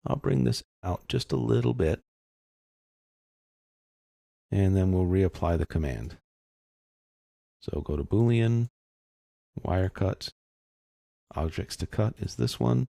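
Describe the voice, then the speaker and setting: A man giving an informal step-by-step software tutorial, explaining casually as he thinks aloud. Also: deep voice, vocal fry, no room sound, studio quality.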